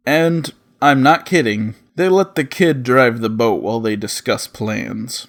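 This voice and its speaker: A man hosts a comedic cartoon review in a sarcastic, sweary way. His voice sits at an ordinary middle pitch, and faint music plays along with his scripted narration. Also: measured pace. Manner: sarcastic, profane. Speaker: host of a comedic review show.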